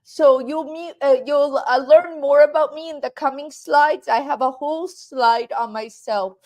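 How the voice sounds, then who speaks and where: Woman from Canada, high voice, unhurried delivery; teacher leading a live online class. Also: steady tone, darkish tone. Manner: repeats "right?" to check in with viewers. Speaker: English teacher.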